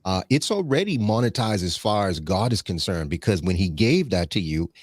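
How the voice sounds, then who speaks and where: A man's voice: low-pitched, very animated, normal speed, no echo, studio quality. A man from the Bahamas, a guest in an interview, talking in a reflective, rambling way with many fillers and restarts and a preacherly habit of citing scripture. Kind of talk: casual conversational interview.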